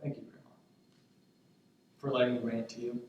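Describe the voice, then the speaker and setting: A man's voice: medium pitch, little pitch movement, normal speed, darkish tone, close to an everyday voice. A man acting a tender grandson in his twenties in a stage monologue, confiding in his departed grandmother in a reflective, hesitant, conversational way. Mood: tender, reassuring. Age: 20s. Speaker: stage actor in a student play. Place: indoor stage, recorded from afar. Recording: echoey, distant stage recording with noise.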